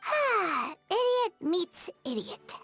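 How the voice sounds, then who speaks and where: A woman's voice: very high-pitched, sing-song, slow, a bit bright, faint music behind, exaggerated voice. A woman playing a tiny, cheeky childlike fairy companion in a video game, blunt and a little snarky. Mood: exasperated. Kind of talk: video game character acting.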